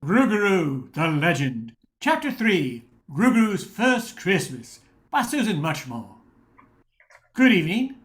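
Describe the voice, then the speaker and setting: A woman's voice: fairly low pitch, big pitch swings, slow. An older woman reading scripted narration as the announcer of a radio play.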